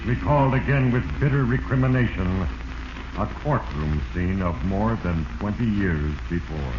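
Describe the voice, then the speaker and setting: A man playing Fate, an ominous narrator of a 1940s radio drama, speaking in a grave, portentous, deliberate way. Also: deep voice, natural voice. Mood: grave, condemning. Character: Fate, ominous male narrator judging doomed mortals. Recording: noisy, dull, echoey 1940s radio recording.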